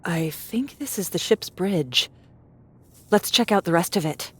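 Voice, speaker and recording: A bold young woman explorer from a sci-fi audiobook. Her voice sits a little low, and she talks in a brisk, sardonic way, like someone used to taking the lead. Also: expressive, slightly rough. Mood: matter-of-fact, confident. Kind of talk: audiobook character acting.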